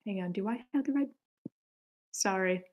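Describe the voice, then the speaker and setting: A woman with a medium-pitched voice hosts an online script read. She talks casually and informally, steering the group as the session's organizer. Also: moderate pace. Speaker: host of a script table read.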